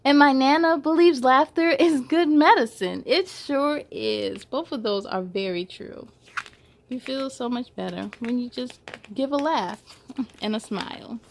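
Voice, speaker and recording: Female children's read-aloud host, high voice, animated and playful storytelling delivery. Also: a little slow, slight background noise. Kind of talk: expressive read-aloud for children with chatty asides.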